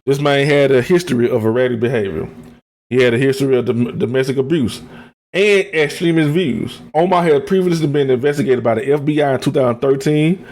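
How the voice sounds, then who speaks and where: A man's voice: medium pitch, a little fast, a little mellow. A man with an American accent hosting a podcast, giving opinionated, informal commentary partly read from notes, repeating phrases for emphasis. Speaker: podcast host.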